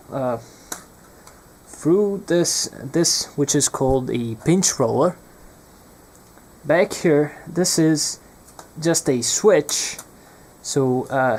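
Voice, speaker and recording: A man's voice: a little high, a little mellow, a little hiss or hum. A young man presenting an unscripted hands-on electronics demonstration, speaking hesitantly and searching for his words.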